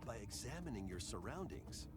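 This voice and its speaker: A man's voice: medium pitch, big pitch swings, a little fast. A man delivering scripted promotional narration for game trailers in a polished announcer style. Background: trailer music.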